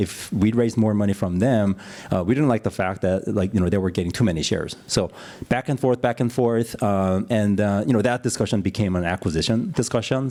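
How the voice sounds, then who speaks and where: A man from Korea speaking on a conference panel, halting with many fillers as he gives earnest advice. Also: lowish voice, mellow. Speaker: founder on a panel. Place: conference stage.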